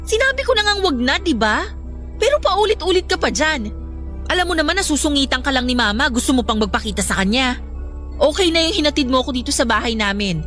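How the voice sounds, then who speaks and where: A woman's voice: high-pitched, very animated, quick pace, a bit bright, faint music behind. A woman with a Filipino accent acting as a weary, exasperated young girlfriend in a radio drama, defensive and strained. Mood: irritated. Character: weary, exasperated young teacher girlfriend torn under pressure.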